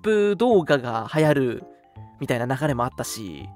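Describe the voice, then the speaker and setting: A young man co-hosting a radio show in casual, agreeable chat, full of quick words of assent. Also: medium pitch, sing-song, darkish tone. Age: young adult. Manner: agreeable, chatty, frequent backchannels. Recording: music bed under the talk. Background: background music.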